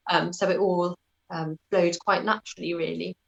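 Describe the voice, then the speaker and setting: A woman's voice: fairly low pitch, fairly even intonation, normal speed, creaky, highs cut off. A British woman answering as an interview guest in a conversational, thoughtful, self-effacing way.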